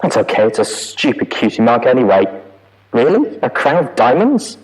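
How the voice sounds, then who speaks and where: A man's voice: mid-range voice, very animated, normal speed, voice pushed up, natural voice, rough recording. A man voicing an unhinged young mare who speaks in a bitter, manic sing-song and swears.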